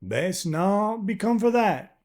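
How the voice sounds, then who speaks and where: A man voicing a wary, weathered older frontier man with an odd near-Southern drawl, gruff and clipped, in a storybook reading. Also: high voice, sing-song, slow, darkish tone, voice pushed up, full character voice. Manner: gruff, clipped, old-fashioned folksy speech.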